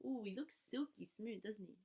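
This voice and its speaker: A woman reading a children's story aloud expressively, animated and adding playful little asides. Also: mid-range voice, normal speed, creaky. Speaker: storybook reader.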